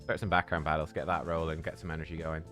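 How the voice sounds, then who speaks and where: A man chatting casually on a live game stream, relaxed and thinking out loud as he plays. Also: extremely low pitch, fast, faint music behind.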